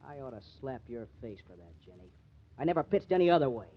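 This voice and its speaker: A man playing an honest, stubborn, hot-headed baseball pitcher in an old-time radio crime drama, with an American accent and slangy, defiant tough talk. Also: animated, fast, phone-quality sound, close to an everyday voice.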